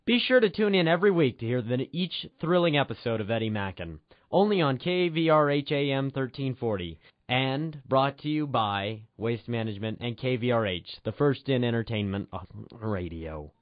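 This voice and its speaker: A man's voice: mid-range voice, lively intonation, normal speed, no room sound. A man, a writer and director, hosting with scripted old-time radio narration. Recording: dull lo-fi radio sound, music underneath. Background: music.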